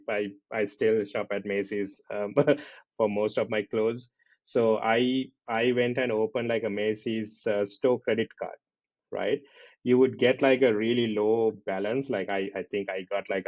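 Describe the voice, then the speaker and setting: A man with a Sri Lankan accent talking casually as a podcast host, halting and repeating himself, often checking in with a tag question. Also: medium pitch, darkish tone, no echo. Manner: halting, repetitive, often tags questions with "right". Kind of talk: casual conversational podcast talk. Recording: dull lo-fi audio.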